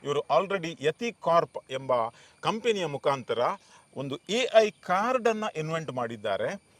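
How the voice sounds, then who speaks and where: Male interviewer, fairly high voice, conversational hosting, English words mixed in. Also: sing-song, slow, no echo. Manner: often mixes in English words. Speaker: show host, interviewer.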